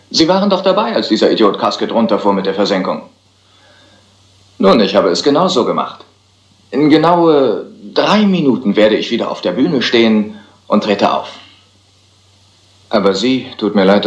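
An actor playing a cold, suave, scheming murderer with a sardonic manner in a crime drama. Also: male voice, fairly low pitch, big pitch swings, normal speed, long pauses. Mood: smug, cold. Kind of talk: German-dubbed crime film acting. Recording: roomy echo, music underneath.

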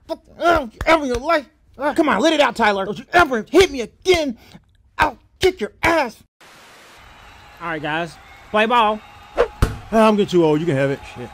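Male comic voiceover artist: very lively intonation, sweary exclamatory trash talk, over noisy game sound. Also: high voice. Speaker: comedy voiceover creator.

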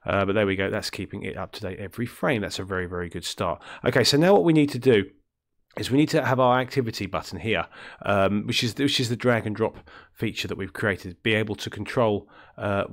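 Man, low-pitched, throaty sick voice, rambling coding tutorial, lots of ums. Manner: rambling, self-correcting, many ums and uhs. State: deep, throaty voice from illness. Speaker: game development tutorial host. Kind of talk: screen-recorded coding tutorial.

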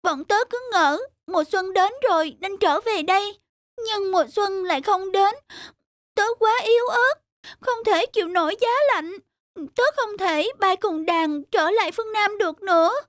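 A woman's voice: very high voice, normal speed, no room sound, clean studio sound. A woman voicing a small, frail, freezing young swallow in a children's cartoon, plaintive and childlike. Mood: weak, plaintive.

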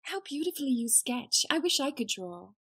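Modest young governess, higher voice, breathy, dry, audio drama. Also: female voice, very animated, normal speed, a bit bright, dry recording, exaggerated voice. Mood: earnest.

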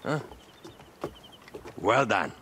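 A man's voice: fairly low pitch, sing-song, very slow, pushed and bright, a little hiss or hum, full character voice. An actor playing a wary, authoritative male agent in an ancient Egypt video game. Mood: gruff. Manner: blunt, authoritative.

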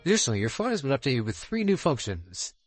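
A man's voice: medium pitch, big pitch swings, fast talker, slightly rough, soft background music. A man voicing a solemn god of time and space, formally announcing the rules as game master in a visual novel. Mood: solemn, commanding. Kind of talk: reading visual novel dialogue aloud.

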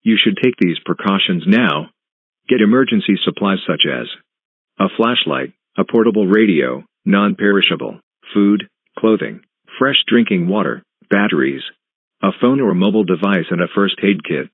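Deep, phone-band male emergency announcer. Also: animated, moderate pace, no room sound. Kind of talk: scripted emergency broadcast announcement. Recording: muffled, narrow phone-like broadcast sound.

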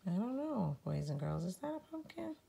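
A woman reading a picture book aloud for preschool children, talking to them in an animated way. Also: mid-range voice, normal speed, creaky, breathy edge. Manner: animated, addresses young children. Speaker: preschool story reader. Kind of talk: children's storytime read-aloud.

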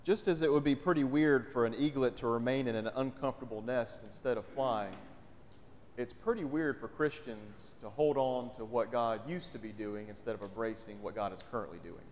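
A man's voice: medium pitch, moderate pace, a little mellow, slight background noise. A man, a pastor preaching a sermon in a conversational way and often asking the congregation questions. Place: large church sanctuary, indoors. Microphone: pulpit mic. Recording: long church hall reverb.

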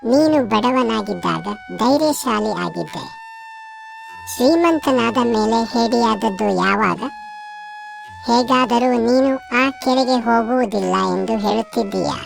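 Woman doing a greedy village wife, cartoon, insistent, gravelly, soft music behind. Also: on the high side, lively intonation, measured pace, heavily acted.

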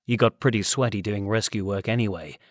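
A man's voice: on the low side, a little fast, dry recording, studio quality. A man reading an audiobook, giving scripted story narration. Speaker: audiobook reader.

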